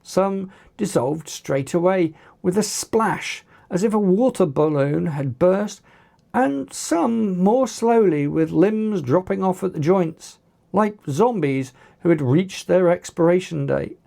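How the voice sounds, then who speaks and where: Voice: mid-range voice, expressive, takes it slowly, vocal fry, dark tone. An author reading a novel aloud as scripted, dramatic audiobook narration.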